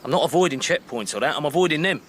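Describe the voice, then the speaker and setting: Blunt, practical soldier, noisy backdrop. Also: male voice, on the high side, expressive, very fast, a bit bright. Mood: defensive. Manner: plain-spoken, terse, bossy. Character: brisk, blunt soldier, shaken by war but practical. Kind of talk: naturalistic period radio drama acting.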